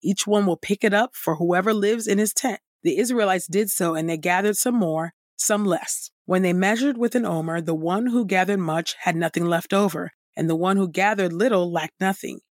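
A woman reads scripture as a podcast host, her delivery measured and deliberate. The recording is close and dry, with no room sound, and each phrase is clearly enunciated. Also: on the low side, dry recording. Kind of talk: measured scripted Bible reading.

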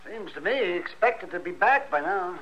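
An actor playing a kindly, fussy older town doctor in an old-time radio western. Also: male voice, sits high, sing-song, moderate pace, creaky, full character voice. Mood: chatty. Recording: hissy, dull old radio recording.

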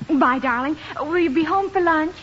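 An actress playing an adoring, gushing young wife in a 1950s radio comedy. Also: female voice, sits high, moderate pace, a bit bright. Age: young adult. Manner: gushing, affectionate. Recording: muffled, noisy 1950s radio recording.

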